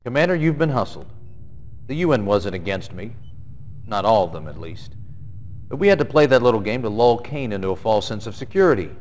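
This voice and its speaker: A man's voice: fairly low pitch, sing-song, a little fast, darkish tone. An actor playing a stern, confident male military general, giving an authoritative, plain-spoken mission briefing in a video game. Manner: authoritative, colloquial. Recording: long reverb, faint noise.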